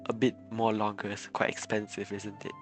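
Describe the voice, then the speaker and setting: A woman's voice: very deep voice, flat delivery, brisk, over music. A woman live streamer giving chatty, casual commentary and reacting aloud to a game.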